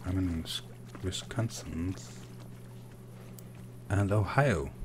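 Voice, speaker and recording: A low-voiced Danish man talks slowly through a game stream, murmuring to himself as he plays and answering viewers. Faint game ambience sits behind him. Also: background noise. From Denmark. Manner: soft-spoken and unhurried, muttering while playing. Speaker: gaming streamer. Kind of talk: live gameplay commentary reading chat.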